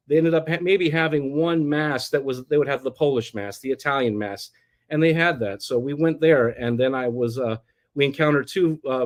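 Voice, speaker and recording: Rambling middle-aged American man. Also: on the high side. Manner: rambling, many restarts and fillers. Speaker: interview guest. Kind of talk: casual personal storytelling.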